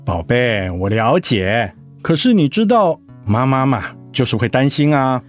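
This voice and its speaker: A man's voice: mid-range voice, big pitch swings, measured pace, soft background music. A man playing a tender, coaxing young husband in a radio drama. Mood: placating. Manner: affectionate, coaxing. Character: tender, doting young husband fussing over his wife.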